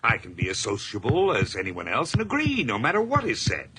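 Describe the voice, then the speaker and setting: An actor playing a tense young murderer who stammers and breaks into frantic shouting, in a radio drama. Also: male voice, medium pitch, huge pitch swings, brisk, slightly rough, exaggerated voice. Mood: agitated. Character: tense young male murderer, guilt-ridden, cracking into frenzy. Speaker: murderer telling his own story. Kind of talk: 1980s radio drama acting. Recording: hissy old radio broadcast.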